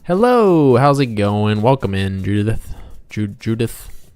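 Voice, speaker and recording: Low-voiced man chatting casually on a livestream. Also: sing-song, takes it slowly, a little mellow, clear, resonant tone. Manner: rambling, playful, talking to himself. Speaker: game developer and livestream host. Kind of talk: casual livestream chat while drawing, reading out viewer comments.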